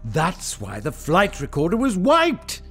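An anguished professor in a sci-fi radio play, high in pitch for a male voice. He speaks in halting, emphatic bursts that swing widely up and down, over faint music. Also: wildly swooping pitch, a bit bright, slightly rough, full character voice. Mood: excited realisation. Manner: halting, repeats himself, emphatic. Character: anguished, excitable male professor and protective father. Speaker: actor. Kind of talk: audio drama acting.

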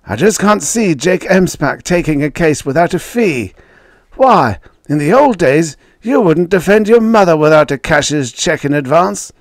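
Storybook role: gruff, breathless old prosecutor, voiced by a man, blunt and forceful, with swooping delivery. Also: medium pitch, expressive, moderate pace, no room sound, voice pushed up, natural voice. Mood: wheezy, baffled. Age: older. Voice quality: wheezy, short of breath. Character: heavy old district attorney, gruff and combative.

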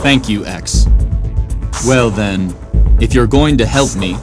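Formal, earnest robot fighter. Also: male voice, fairly low pitch, slow, over music. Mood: grave, formal. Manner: stiff, formal, uncontracted speech. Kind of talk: video game cutscene acting.

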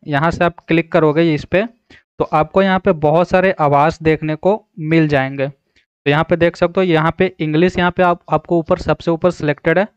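A man walks listeners through an app in a casual, instructional way. His voice is clear and on the higher side. The recording is close and dry, with a slightly muffled top end. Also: no echo. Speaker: tutorial host. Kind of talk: casual screen-recorded app tutorial narration.

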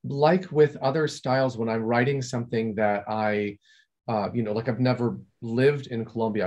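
A man from California, a composer, explaining his music in a relaxed, chatty way over a video call from his home study. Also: fairly low pitch, lo-fi sound. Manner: relaxed, chatty, restarts and fillers. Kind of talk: video-call masterclass discussion.

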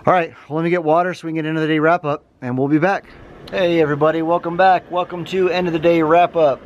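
A man's voice: fairly high pitch, fairly even intonation, fast, muffled recording, a little hiss or hum. A man, chatting casually to the camera on a mountain trail. He talks in an easygoing, folksy way and sounds tired. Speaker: thru-hiker vlogger. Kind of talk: casual trail vlog narration. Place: outdoors on a wooded mountain trail. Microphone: handheld camera at arm's length.

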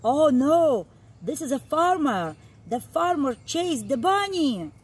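A middle-aged woman reading a picture book aloud to young children in an expressive, deliberate way, recorded outdoors. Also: high-pitched. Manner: expressive, deliberate read-aloud delivery with simple emphasized phrases. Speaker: early childhood storyteller. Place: outdoor backyard, seated on a garden seat.

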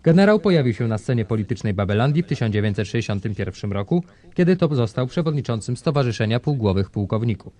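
An actor playing a fawning state broadcast announcer, reading formal news in a reverent, official way. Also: male voice, mid-range voice, very animated, fast, hardly any pauses, mellow, natural voice.

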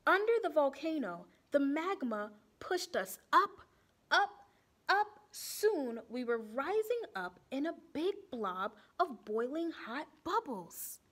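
A young woman reading a children's picture book aloud expressively, deliberately, pausing to ask and explain. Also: high-pitched, sing-song, fairly bright tone. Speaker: storytime reader for children. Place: small indoor room with bulletin board.

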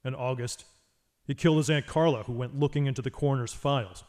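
An actor playing a brooding, driven masked vigilante detective in a radio noir, terse and demanding. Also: male voice, mid-range voice, fairly even intonation, fast, a little mellow, lightly acted. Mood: grim, brooding. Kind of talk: radio noir acting and narration.

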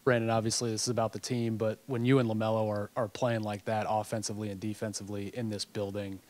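A man's voice: on the low side, flat delivery, fast, darkish tone, dry recording. A man reporter asking a long two-part question at a press conference.

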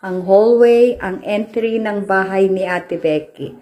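Slow-talking Filipino woman filming a house tour. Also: mid-range voice, dark tone. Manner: playful, mixes Filipino and English, slow drawn-out phrasing. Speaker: vlogger giving a house tour. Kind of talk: casual chatty house-tour narration. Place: indoors in a house, walking room to room. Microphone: handheld phone camera.

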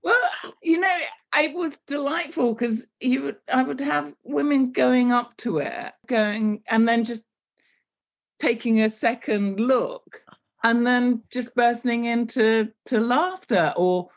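A woman's voice: on the high side, takes it slowly. Hesitant woman in her fifties. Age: 50s. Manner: hesitant, many fillers and restarts. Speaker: textile artist guest. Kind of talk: casual interview chat.

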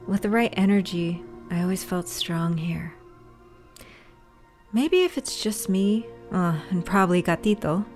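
A woman's voice: medium pitch, close to an everyday voice. Brief: fierce young witch voiced by a woman, brooding monologue, music under. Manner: intense inner monologue, bursts of anger. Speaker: lead character. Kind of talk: audio drama acting.

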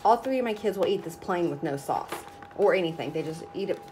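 A woman's voice: fairly low pitch, animated, a little fast, a little mellow. Chatty woman unpacking groceries, noisy room. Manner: chatty, itemizing each product. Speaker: vlogger. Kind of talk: casual grocery haul show-and-tell. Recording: noticeable background noise. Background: rustling bags and crinkling packaging.

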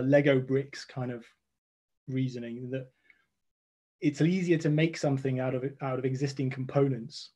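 A man's voice: medium pitch, mellow. A man in his forties, a guest scientist on a video call from his home, explaining step by step with frequent restarts. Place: home room with red walls.

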